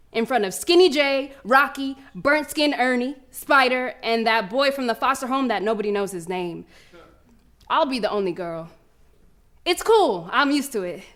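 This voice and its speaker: High-voiced, brash preteen city girl. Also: lively intonation, unhurried, fairly bright tone. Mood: animated, boastful. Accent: American. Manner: brash, slangy, streetwise storytelling. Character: fierce, cocky preteen city girl runner. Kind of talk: first-person theatrical monologue performed live.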